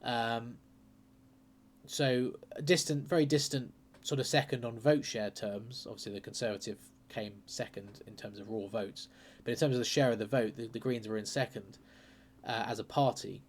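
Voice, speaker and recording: A man hosting a political channel, talking casually and hesitantly through by-election numbers, his voice a bit croaky from a cold. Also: mid-range voice, steady tone, normal speed. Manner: chatty, hesitant, lots of ums and uhs. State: croaky with a sore throat and cold. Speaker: political commentary channel host.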